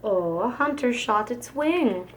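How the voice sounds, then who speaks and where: A woman's voice: a little high, expressive, slow, slight background noise. A woman voicing a kind old Russian grandmother from a storybook, affectionate and exclamatory, with a few Russian words. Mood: tender, concerned.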